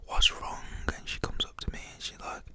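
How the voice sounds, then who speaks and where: Whispering young man. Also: quick pace. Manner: rambling, full of "like" fillers; whispers throughout. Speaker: storytelling vlogger. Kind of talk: whispered storytelling.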